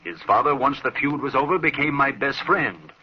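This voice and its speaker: A man's voice: mid-range voice, big pitch swings, normal speed, slightly rough. An actor playing a firm, persuasive noble father in an old-time radio drama, formal and insistent. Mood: firm, reasoning. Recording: muffled old radio recording.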